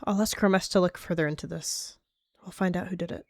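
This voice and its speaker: A woman's voice: lowish voice, steady tone, brisk, no room sound, close to an everyday voice. A woman voicing a composed, pragmatic and dismissive cat clan leader in a dramatic animal roleplay. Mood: firm.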